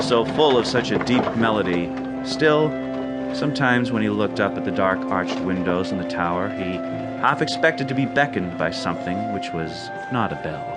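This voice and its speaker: Low-pitched male storyteller over loud music. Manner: theatrical, measured storytelling. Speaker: narrator. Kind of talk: dramatized storybook narration. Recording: loud music behind narration.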